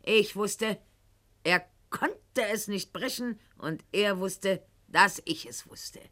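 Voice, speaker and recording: A woman's voice: mid-range voice, takes it slowly, bright, forceful tone. An actress playing a proud, calculating eighteenth-century noblewoman in a radio drama monologue, with formal, haughty and eloquent diction addressed to her husband. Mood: self-satisfied.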